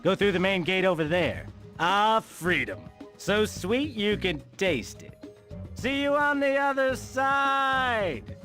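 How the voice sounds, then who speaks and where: A melodramatic animal commando leader. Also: male voice, sits high, sing-song, slow, bright, forceful tone, soft background music, full character voice. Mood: elated. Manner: clipped, melodramatic military orders. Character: bossy military-minded scheming animal commando leader, male. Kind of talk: video game cartoon voice acting.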